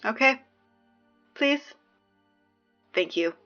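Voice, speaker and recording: Teenage girl, high voice, sardonic school announcer, peppy and emphatic, audio drama, music underneath. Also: lively intonation, extremely slow, pushed and bright, highs cut off, exaggerated voice. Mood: pleading.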